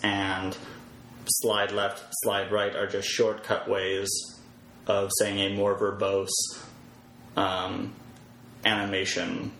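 A man with a fairly low voice explains things casually as an interview guest. He works through his thoughts out loud in a halting way. The room is clearly echoey, with faint noise behind him. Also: monotone. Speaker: podcast guest, web developer.

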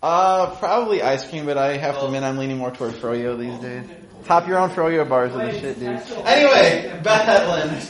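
A man's voice: a little high, lively intonation, normal speed, crowd noise. A man hosting a live show, chatting casually with laid-back, slangy bro talk. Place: live event in a room with an audience. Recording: roomy, very noisy live venue.

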